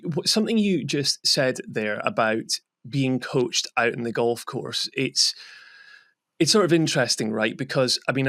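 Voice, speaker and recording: A man's voice: medium pitch, fairly bright tone, no echo, studio quality. A man hosting a podcast, asking long, enthusiastic questions that wander and restart. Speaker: podcast host. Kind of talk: casual podcast interview.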